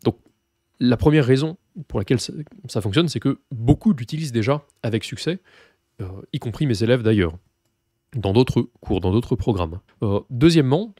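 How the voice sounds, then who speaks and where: A French man who teaches Russian, explaining his course in a relaxed way with many fillers and restarts. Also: mid-range voice, no room sound, studio quality. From France. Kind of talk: course presentation to camera.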